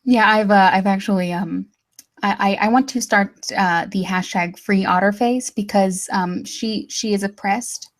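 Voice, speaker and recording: A woman's voice: mid-range voice, unhurried. A young woman from Texas chatting casually as a guest, hesitant with many fillers and restarts, and dryly sarcastic. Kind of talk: casual chat.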